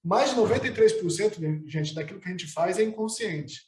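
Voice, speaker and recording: A man's voice: high voice, animated, a little fast, a little mellow. A man, a psychologist and philosopher, explaining his ideas in a teacherly way, asking and answering his own questions. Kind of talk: explanatory conversation. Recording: roomy echo.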